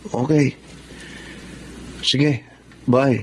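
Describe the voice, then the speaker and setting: Young boyfriend, devoted and insecure, medium-pitched, radio drama, slight background noise. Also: male voice, steady tone, extremely slow, darkish tone, low-quality recording. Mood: tender, hopeful. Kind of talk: Tagalog radio drama acting.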